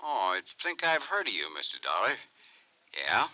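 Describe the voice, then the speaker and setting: A man's voice: medium pitch, wildly swooping pitch, normal speed, sharp tone, lo-fi sound, exaggerated voice. An actor playing a folksy, easygoing male insurance adjuster who talks casually and hesitantly in a 1950s radio drama.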